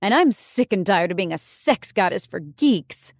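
A woman's voice: mid-range voice, expressive, moderate pace, no echo, close to an everyday voice. Frustrated young stage actress, played by a woman: ambitious, emphatic, dramatic audiobook dialogue, swooping intonation, tight dry recording. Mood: indignant, fed up.

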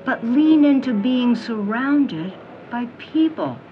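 Mystic fortune teller: female, knowing, teasing, very animated, audio drama, music bed. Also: fairly high pitch, phone-quality sound. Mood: soothing, knowing. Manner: theatrical, honeyed pet names, dramatic pauses. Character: mysterious middle-aged woman fortune teller, theatrical, knowing, teasing.

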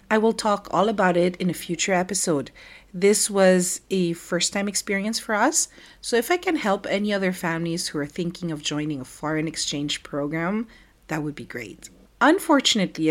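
A middle-aged woman hosting a podcast, telling a personal story in a candid, wry, conversational way, her voice still slightly rough after the flu. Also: fairly low pitch. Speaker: podcast host and life coach. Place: home.

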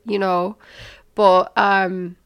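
A woman hosting her podcast in a casual, rambling solo chat, her voice croaky from a cold. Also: mid-range voice, little pitch movement, extremely slow. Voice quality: gravelly, croaky. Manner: rambling, chatty, many fillers. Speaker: podcast host. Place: New York.

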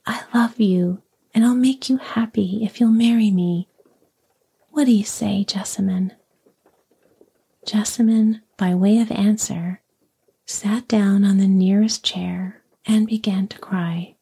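A woman whispers a bedtime story in a husky, breathy voice, slow and soothing. Her tone is dark and mellow, with a faint gravelly fry. She reads gently, as if helping someone drift off to sleep. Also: medium pitch, fairly even intonation.

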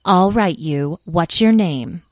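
A woman's voice: on the low side, slow pace, no room sound. A woman voicing a haughty, ageless fairy for a storybook, solemn and disdainful of humans. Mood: commanding.

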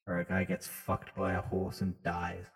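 A man's voice: low voice, monotone, moderate pace, vocal fry. Sweary, teasing man in a reverberant space. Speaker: podcast co-host. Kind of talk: casual banter, crude joking. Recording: echoey reverberant room sound.

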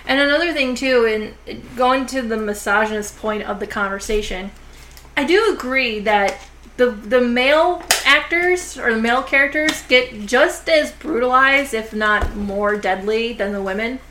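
A woman with a somewhat high voice hosts a casual horror movie review podcast. She talks in a chatty, rambling way with plenty of fillers, a little slower than usual because of a sore jaw. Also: sharp tone, a little hiss or hum. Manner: chatty, many "like" and "you know" fillers. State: sore jaw, talking a bit slowly. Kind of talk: casual conversational film review.

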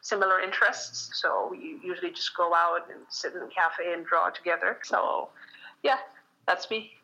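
A woman's voice: fairly low pitch, fairly even intonation, moderate pace, gravelly, sharp tone, lo-fi sound. A woman who works in international relations, speaking fluently and with composure in an interview. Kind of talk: interview answer.

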